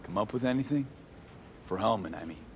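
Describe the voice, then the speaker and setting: A man's voice: lowish voice, lively intonation, brisk, slight background noise, natural voice. A man playing a wary, practical mercenary. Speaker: game protagonist. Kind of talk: video game dialogue.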